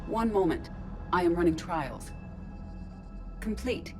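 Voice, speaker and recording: A woman's voice: on the low side, animated, takes it slowly, over music. A woman voicing a ship's artificial intelligence in a robot body for a video game, formal, precise and emotionless. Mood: calm, analytical. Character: female ship artificial intelligence in a robot body, coolly logical.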